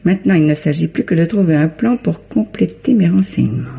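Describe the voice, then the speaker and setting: An actress playing a resourceful, smooth-tongued lady sleuth in a 1950s French radio mystery, articulate and polite. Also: on the low side, animated, a crowd in the background. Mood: brisk, scheming. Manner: polite, quick-witted, articulate. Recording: dull old radio recording.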